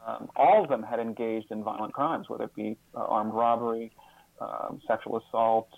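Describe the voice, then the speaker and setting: Male interview guest, mid-pitched, thoughtful and halting delivery, narrow muffled phone-style sound. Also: steady tone, creaky, dry recording. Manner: halting, earnest, repeats words as he thinks. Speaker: guest author and social scientist. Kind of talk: reflective interview talk.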